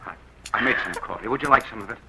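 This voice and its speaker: A man's voice: fairly low pitch, very fast, close to an everyday voice. An actor playing a tense, hunted young man who talks in a clipped, guarded way, in 1940s radio acting style, on a hissy old radio recording. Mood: weary.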